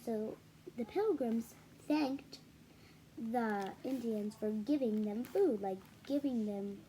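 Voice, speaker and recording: A woman's voice: a little high, slow, dark tone, a little hiss or hum, poor audio. A woman reading a children's picture book aloud, adding casual explanatory asides for young listeners. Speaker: read-aloud storyteller for children.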